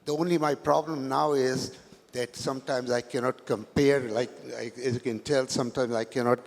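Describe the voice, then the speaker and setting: Halting older man in a hall. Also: mid-range voice, steady tone. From Pakistan. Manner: hesitant, many fillers and restarts. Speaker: guest speaker, retired pilot. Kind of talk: halting live stage interview. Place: large event hall. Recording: long hall reverb, faint noise.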